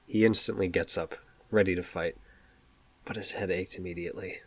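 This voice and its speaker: A man's voice: low-pitched, steady tone, moderate pace, husky. A man narrating a scripted audio drama, with ambient noise behind him.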